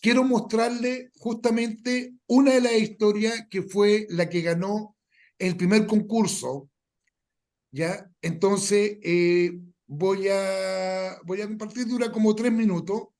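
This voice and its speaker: High-pitched man, unhurried. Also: fairly even intonation, a little mellow. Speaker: workshop host and writer. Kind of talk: informal workshop facilitation.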